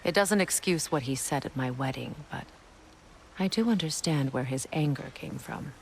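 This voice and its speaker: A somber, mature goddess in a video game. She is reflective and measured, with a faint noise behind her. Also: sits low, creaky, poor audio. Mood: somber recollection. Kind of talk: dramatic video game storytelling.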